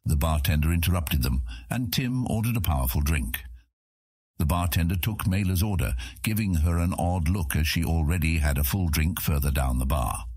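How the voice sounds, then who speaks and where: A man's voice: very deep voice, normal speed, darkish tone, slightly rough, dry recording, clean studio sound. A man narrating a scripted fantasy audiobook as a storyteller.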